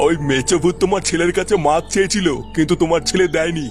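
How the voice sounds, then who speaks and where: Man playing a high-voiced, commanding village exorcist. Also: fairly even intonation, fast, close to an everyday voice. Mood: grave, authoritative. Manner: solemn, authoritative. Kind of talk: comedic cartoon dialogue. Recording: clear music behind.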